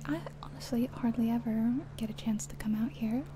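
A woman whispering softly and breathily in an ASMR roleplay as a friendly taxi driver, chatting gently and without hurry. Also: on the high side, brisk. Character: friendly small-town taxi driver giving a guided ride. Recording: steady car and rain noise. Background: car sounds and rain.

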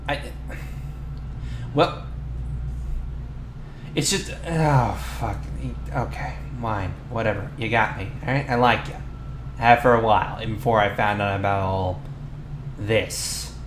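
A man playing a flustered, defensive teenage bully with a crush in an ASMR boyfriend roleplay, talking in a halting, sheepish, slangy way. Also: mid-range voice. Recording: roomy echo, clear background noise.

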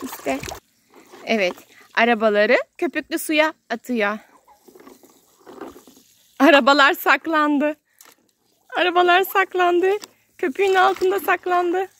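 High-voiced woman in playful child-directed narration. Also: slow, long pauses, a bit bright, a little hiss or hum. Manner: sing-song, exclaiming, simple short phrases. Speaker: children's video host playing with a child. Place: outdoors on grass in a garden.

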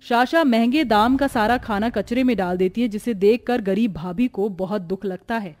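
A woman's voice: fairly high pitch, moderate pace, a little mellow, no echo. A woman narrating a scripted cartoon story.

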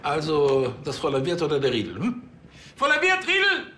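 Casting: fussy, irritable police boss, high bright voice, snappy, quick to exasperate, TV comedy. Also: male voice, wildly swooping pitch, slight background noise, exaggerated voice. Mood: exasperated. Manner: hesitant fillers, snappy questioning. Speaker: actor in a police comedy series.

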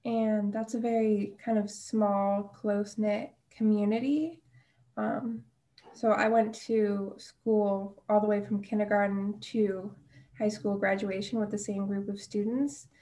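A young American woman with a soft-edged, mellow tone speaks at a middle pitch. She shares her personal story in a casual, plain way. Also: little pitch movement, a little slow. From western New York, United States. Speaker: university student or alum sharing her story.

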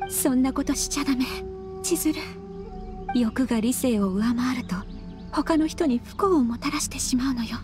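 A woman voicing a mysterious ghostly woman in a game, sorrowful and restrained, solemn and breathy, speaking in ominous murmurs. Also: sits high, moderate pace, over music, close to an everyday voice. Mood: anguished self-restraint. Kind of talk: Japanese game cutscene voice acting.

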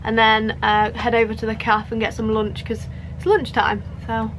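Brief: young woman vlogger, playful and chatty, slightly high voice, faint background hum. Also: completely flat delivery, moderate pace. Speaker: sailing vlogger. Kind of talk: casual vlog chat. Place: inside a small boat cabin.

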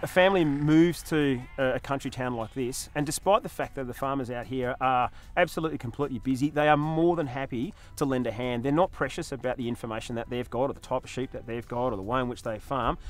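A man's voice: medium pitch, fast, few pauses. An Australian man in his forties, a farmer talking casually in an outdoor interview. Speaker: novice farmer being interviewed. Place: outdoors on a farm hillside.

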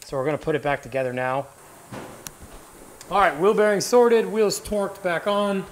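A man in his thirties hosting a car vlog, talking fast and with energy while working in a tire workshop. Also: high voice, a little hiss or hum. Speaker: car builder and vlog host. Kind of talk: casual on-camera vlog. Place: indoor tire workshop garage.

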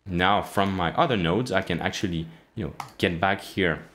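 Man, 30s, low voice; relaxed coding-tutorial host, halting and full of fillers, recorded close in a small room. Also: slight background noise. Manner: halting, many fillers and restarts. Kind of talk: casual screen-share coding walkthrough. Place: small indoor room, plain wall.

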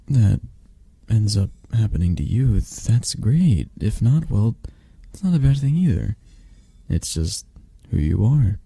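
A low-voiced, protective young dragon boyfriend acted by a man murmurs close and dry in a romantic ASMR roleplay. He is tender and teasing, with a husky, breathy tone and lively rises and falls as he coaxes. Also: no echo, exaggerated voice. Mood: reassuring, apologetic.

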